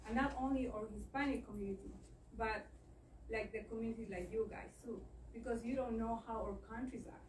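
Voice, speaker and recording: A middle-aged woman gives an earnest, plain-spoken talk at a meeting. Her voice sits a little high, and it reaches the mic from some distance across an echoey room with faint noise behind. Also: fairly even intonation, a little fast. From Ecuador. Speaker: guest speaker. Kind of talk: public speech at a meeting.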